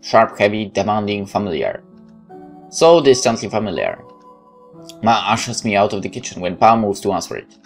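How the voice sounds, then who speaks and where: A man with a Polish accent hosts a game playthrough in a fairly low voice. He reads the story text aloud with some drama, stumbles now and then, and slips into casual asides. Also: animated, moderate pace, faint music behind. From Poland. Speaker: let's play host.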